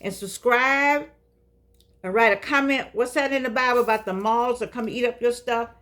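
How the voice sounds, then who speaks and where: An older woman hosts her own show from home, coaxing and preaching in turns with a playful edge. She stretches words out for emphasis, and her voice sits a little high for a woman. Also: a bit bright. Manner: playful, preachy, coaxing, with dramatic drawn-out phrases. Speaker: host. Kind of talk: casual talk show monologue. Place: home studio with keyboard.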